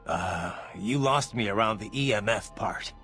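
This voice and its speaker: Gruff cyborg merc guy, dry n wry, short lines, game cutscene w music under. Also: lowish voice, measured pace, sharp tone, heavily acted. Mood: dry, curious. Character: gruff, terse male cyborg mercenary, blunt and wry. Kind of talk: video game cutscene voice acting.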